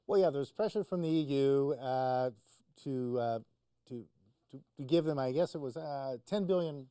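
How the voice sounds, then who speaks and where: A man's voice: mid-range voice, moderate pace, mellow, smooth, dry recording. A man explaining the news conversationally as a talk show commentator, in a hesitant, informal way.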